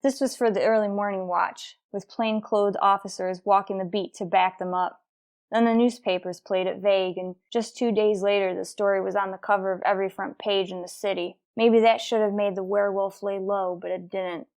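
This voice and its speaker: A woman's voice: mid-range voice, steady tone, moderate pace, a little mellow, close to an everyday voice. A woman playing a terse, dutiful police detective in an old-time radio crime drama, clipped and matter-of-fact. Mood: grim, matter-of-fact. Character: terse, dutiful female police detective narrating her case.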